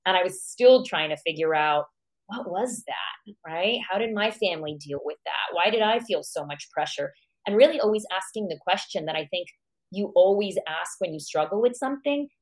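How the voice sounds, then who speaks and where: A woman's voice: fairly low pitch, expressive, moderate pace. A woman born in Iran and raised in America, a psychologist guest, reflecting on her life and thinking aloud with questions to herself. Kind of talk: reflective personal storytelling.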